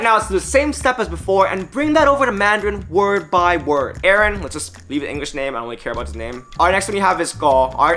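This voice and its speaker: A man's voice: on the high side, a bit bright. A man hosting a casual language lesson, explaining words one by one, upbeat and dropping wry joking asides. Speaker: language teacher and host.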